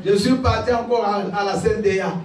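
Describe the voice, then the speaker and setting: A male pastor, preaching a sermon, shouting his key points and laughing through his stories. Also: sits high, fairly even intonation, brisk, a crowd in the background. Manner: exhorting, laughing asides, shouted declarations. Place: church. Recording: echoey church hall, picked up from a distance. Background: congregation responding.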